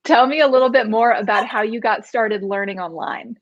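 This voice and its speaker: A woman's voice: a little high, normal speed, no echo. Dry, dull-sounding woman hosting an interview. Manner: warm, supportive, conversational. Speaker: interview host.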